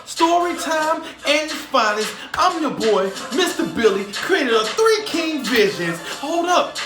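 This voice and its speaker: A man's voice: very high-pitched, sing-song, a little slow. A man hosting a children's storytime in a hyped, sing-song, call-and-response way, reading rhythmically to a beat. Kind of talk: rhythmic read-aloud over hip hop instrumentals. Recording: roomy echo with music. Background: hip hop instrumental music.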